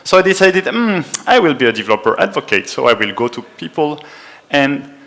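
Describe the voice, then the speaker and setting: A man's voice: a little high, big pitch swings, brisk, a bit bright, rough recording. A man from France in his forties, with a French accent, gives a technical conference talk in a chatty, self-deprecating way, with frequent fillers. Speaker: conference speaker. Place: conference room.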